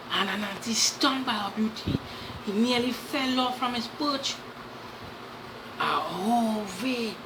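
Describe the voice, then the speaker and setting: A woman telling a children's story aloud, with explanatory asides. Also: mid-range voice. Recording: clearly noisy background.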